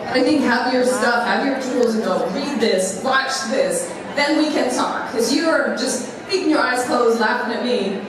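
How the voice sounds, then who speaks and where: A woman's voice: a little high, a little slow, hardly any pauses. Passionate woman panelist in a hall. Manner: passionate, candid storytelling. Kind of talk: panel discussion. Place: large echoey event hall. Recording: roomy hall audio, distant mic, crowd noise.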